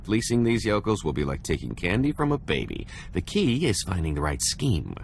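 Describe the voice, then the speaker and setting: A man's voice: sits low, wildly swooping pitch, moderate pace, gravelly, a little hiss or hum, full character voice. A man playing a sly, greedy con artist in a video game, with a glib, smug, scheming way of talking. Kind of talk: video game cutscene acting.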